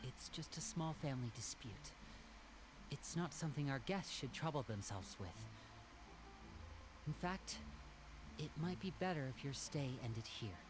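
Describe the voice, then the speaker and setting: An actor playing a young magician and protective older brother, speaking calmly and earnestly in a video game. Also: male voice, medium pitch, unhurried, pauses often, lightly acted. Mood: polite, firm. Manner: calm, earnest, gently persuasive. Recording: game audio with clear noise behind.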